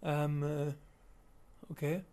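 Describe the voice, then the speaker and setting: A man with a German accent giving unscripted voice-over commentary on video footage, halting with many fillers and restarts. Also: a little high, little pitch movement, mellow.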